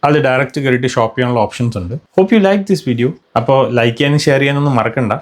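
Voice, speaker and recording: A man's voice: medium pitch, quick pace. A man with a Malayali accent narrates a product review as a vlogger, often mixing in English phrases. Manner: mixes English phrases into speech. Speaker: vlogger reviewing motorcycle gear.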